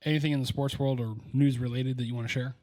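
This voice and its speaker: A young man co-hosting a casual podcast, talking in a relaxed, easygoing way with plenty of fillers. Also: mid-range voice, fast. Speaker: podcast co-host. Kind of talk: casual chat.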